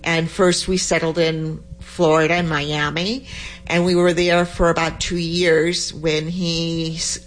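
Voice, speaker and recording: A woman's voice: low-pitched, little pitch movement, unhurried. A woman from Cuba, a guest poet, telling her story in a rambling, chatty radio interview and laughing often.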